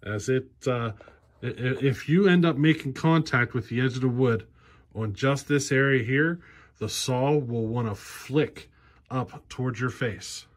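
A man's voice: medium pitch, a little slow. Plain-spoken man explaining in a workshop. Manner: plain-spoken, practical, with frequent ums and you-knows. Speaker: chainsaw channel host. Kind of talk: casual how-to explanation. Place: cluttered workshop garage, indoors.

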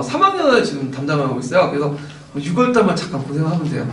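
A middle-aged man lecturing in a classroom in a chatty, animated way, his voice slightly hoarse. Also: high voice, distant mic, a little hiss or hum. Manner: animated, chatty, gestures while explaining. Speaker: lecturer for teacher-exam prep. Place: classroom with whiteboard. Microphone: far camera. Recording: roomy classroom from afar.

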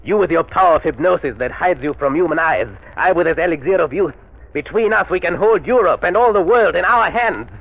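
A man's voice: on the high side, lively intonation, a little fast, creaky, no echo. Muffled vintage radio recording, male megalomaniac military villain, theatrical, sardonic, scheming, formal phrasing. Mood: grandiose, urging. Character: megalomaniac army villain, gloating, scheming killer. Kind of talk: 1930s radio drama acting.

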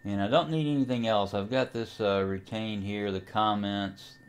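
Man, lowish pitch, casual software walkthrough, unscripted, hesitant, thinking aloud. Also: fairly even intonation, normal speed. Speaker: amateur radio enthusiast, tutorial host. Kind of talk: casual screen-recording software tutorial.

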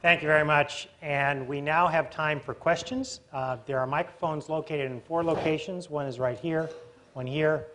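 A middle-aged man acts as moderator on an auditorium stage. His voice is slightly high for a man, and he lays out instructions in an orderly, lightly humorous way. Faint noise sits behind him. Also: fairly even intonation, normal speed, pushed and bright. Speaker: forum moderator. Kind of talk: moderating audience questions.

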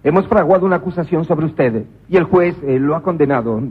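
A ruthless police commissioner in an old radio comedy, slightly high and husky. He speaks in stern, clipped orders and sometimes erupts into manic shouts. The broadcast sounds muffled. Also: animated, brisk. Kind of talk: 1990s radio comedy drama.

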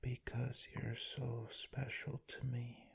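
A man acts out a drowsy, devoted boyfriend in an intimate ASMR roleplay. His voice is very breathy and husky, often dropping into whispers, with heavy creak. He murmurs slowly and tenderly, leaving long pauses. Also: mid-range voice, level tone, exaggerated voice. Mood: tender, loving. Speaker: roleplay voice performer.

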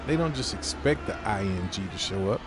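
A man's voice: on the low side, animated, a little fast, a crowd in the background, noisy background, lightly acted. A man playing a cornered fugitive informant in an audio drama, speaking in a bitter, curt, streetwise and profane way. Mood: curt, guarded. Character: defiant, cornered male fugitive turned reluctant informant. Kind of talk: scripted audio drama dialogue.

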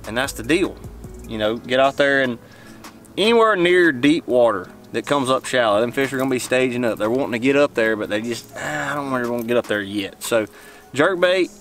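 A young man with a drawl, casually rambling about bass fishing from his boat on a lake. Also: animated, normal speed, fairly bright tone, soft background music. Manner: drawling, rambling, talks to the fish. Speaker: fishing channel host. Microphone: camera mounted on the boat.

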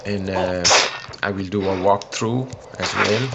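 Low, slow Swedish man commenting on gameplay. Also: fairly even intonation, creaky. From Sweden. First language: Swedish or Hungarian. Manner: enthusiastic, halting non-native English with many fillers. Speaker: mobile game reviewer. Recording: loud background noise.

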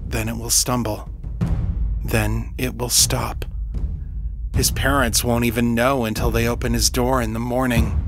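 A man reading a literary short story as a scripted first-person narration. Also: medium pitch.

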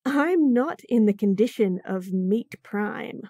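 A woman's voice: mid-range voice, expressive, takes it slowly, warm tone, no room sound, clean studio sound. A woman voicing a bratty, flustered young bunny girl who stammers and giggles nervously in a roleplay audio.

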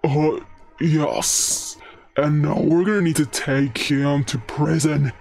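A man playing a hesitant, deadpan police officer in a video game roleplay. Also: a little high, creaky, soft background music. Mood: hesitant, matter-of-fact. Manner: hesitant, measured.

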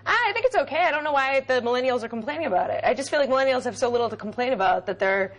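A young woman on a TV panel who talks quickly in a fairly high voice. She answers casually, with a giggle never far off, from a studio chair. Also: expressive. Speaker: panel guest.

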